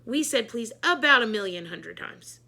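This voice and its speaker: A woman reading a children's book aloud in an animated, expressive storytelling manner with dramatic emphasis. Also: a little high, moderate pace, creaky. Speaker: read-aloud storyteller, teacher.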